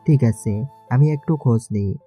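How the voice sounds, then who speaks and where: A very dark, mellow male voice of an actor plays a protective elder brother in an audio drama. He is stern and questioning, with soft music behind him. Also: mid-range voice, normal speed, lightly acted. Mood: wary. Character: suspicious, protective elder brother, grown man. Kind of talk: Bengali audio story acting.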